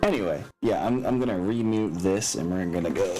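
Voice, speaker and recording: A man's voice: deep voice, fairly quick, dark tone. A man speaking casually as game master of a tabletop game, drawing out phrases for emphasis. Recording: music behind the voice. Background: background music.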